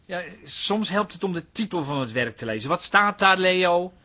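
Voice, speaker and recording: A man's voice: high voice, lively intonation, normal speed, pushed and bright, heavily acted. An actor in a radio play playing an earnest, easily flustered male artist who explains things pedantically and stammers. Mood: patient, pedantic. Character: earnest, exasperated male artist explaining his blurry paintings. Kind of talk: Dutch radio play acting.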